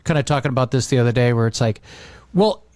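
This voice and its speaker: A man chatting casually as a podcast co-host, telling stories in a rambling, halting way with many repeats. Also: mid-range voice, animated, darkish tone, no room sound. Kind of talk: casual chat, rambling anecdotes.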